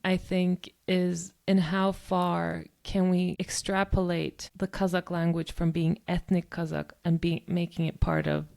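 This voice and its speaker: Woman, podcast co-host, low warm voice, gravelly fry, reflective, casual discussion. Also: flat delivery, unhurried, few pauses. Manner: thoughtful, talks in long reflective stretches with many "um" and "you know".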